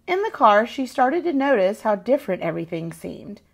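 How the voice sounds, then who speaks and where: A woman reading a children's picture book aloud as an expressive narrator. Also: on the high side, normal speed, gravelly.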